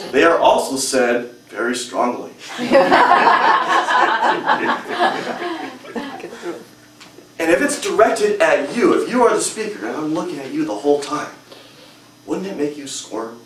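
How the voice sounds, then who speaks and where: A man's voice: fairly high pitch, sing-song, slow, long pauses, crowd noise. A young adult man giving a humorous prepared speech at a public speaking club, with a strong, emphatic delivery in a hotel meeting room. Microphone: far camera. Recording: echoey room from far off.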